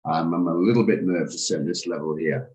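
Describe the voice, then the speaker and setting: A man, hoarse from a cold, hosting a live trading room and talking through charts with hesitant, stammering restarts. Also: deep voice, brisk, darkish tone. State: hoarse, recovering from flu. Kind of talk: live market commentary on a video call.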